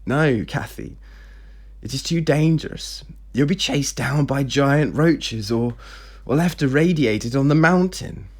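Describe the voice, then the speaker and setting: A man voicing a stern, evasive older president and father in a story, firm, measured and dismissive. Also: mid-range voice, voice pushed up, natural voice. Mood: protective.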